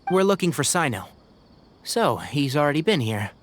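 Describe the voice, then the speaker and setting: Composed young ranger role, organized and clear. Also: male voice, a little high, moderate pace, slight background noise. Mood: calm, purposeful. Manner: calm, organized, giving instructions. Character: composed young male forest ranger, fox-eared. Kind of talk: video game dialogue.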